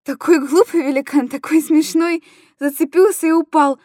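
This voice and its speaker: Woman acting a high-voiced tender mother soothing her child. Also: steady tone, moderate pace, darkish tone, no echo, clean studio sound, natural voice. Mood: tender, playful. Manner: cooing, repetitive, childlike storytelling to a small child. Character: anguished tender young mother soothing her little boy. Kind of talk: dramatic literary monologue reading.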